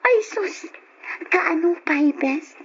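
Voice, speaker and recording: An actress playing a caring best friend who gives reassuring, practical advice, on an old lo-fi radio drama recording. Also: female voice, very high-pitched, expressive, drawn-out words, a little hiss or hum, full character voice.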